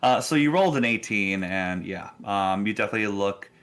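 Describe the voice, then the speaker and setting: Low-voiced man running a tabletop game. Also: expressive, a little fast, a bit bright, a little hiss or hum. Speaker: game master. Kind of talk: casual tabletop game narration.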